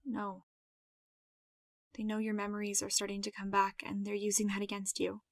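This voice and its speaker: A woman playing a calm guardian in an audio drama, firm but tender, with a soothing, instructive manner. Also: medium pitch, moderate pace, no room sound, studio quality, lightly acted. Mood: grave.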